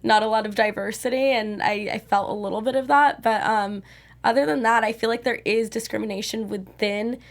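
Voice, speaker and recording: A young woman co-hosting a casual TV review show, with a gravelly, fry-heavy voice that sits a little high. She rambles in a chatty way, full of likes and I means. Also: fairly even intonation, brisk. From United States. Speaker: co-host. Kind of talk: casual TV recap chat.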